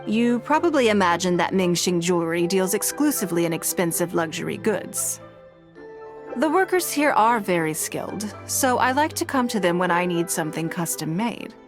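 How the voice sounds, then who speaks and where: An actress playing a poised, shrewd, gracious noblewoman in a video game, speaking formally and articulately. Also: fairly low pitch. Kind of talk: video game character dialogue.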